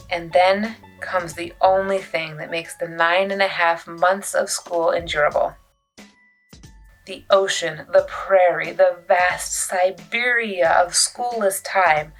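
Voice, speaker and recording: A woman reading a children's novel aloud as an expressive storyteller, with dramatic pauses and emphasis. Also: on the low side. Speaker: youth services librarian reading a book aloud.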